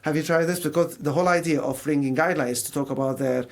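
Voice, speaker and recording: A man with a Syrian Arabic accent talks as a radio interview guest. His voice sits a little high. He explains things conversationally, acting out short imagined quotes to make his points. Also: quick pace. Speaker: mental health charity service manager, radio guest.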